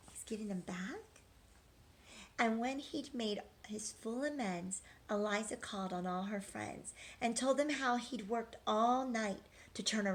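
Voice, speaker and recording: Slow, gentle teacher reading to kids. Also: female voice, medium pitch, a little hiss or hum. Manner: gentle and engaging, asks questions. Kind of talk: children's read-aloud.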